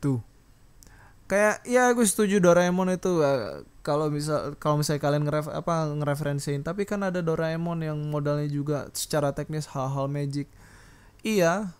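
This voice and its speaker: Indonesian-accented man, slightly high pitch, solo podcast host, unscripted rant, blunt, rambling, slangy. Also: moderate pace, clean tone. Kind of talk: unscripted casual ranting monologue.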